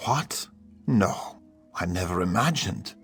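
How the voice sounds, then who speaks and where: Low-voiced, shrewd lordly advisor. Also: male voice, animated, slow pace, fairly bright tone, exaggerated voice. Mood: curious, concerned. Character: shrewd, grave lordly male advisor to a jarl. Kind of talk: video game dialogue acting. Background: music.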